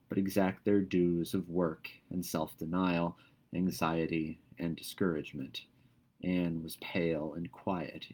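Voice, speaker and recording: A man with a fairly low voice reads a classic story aloud. His storybook narration is expressive and carefully measured, giving each phrase its place. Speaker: narrator reading a novel aloud.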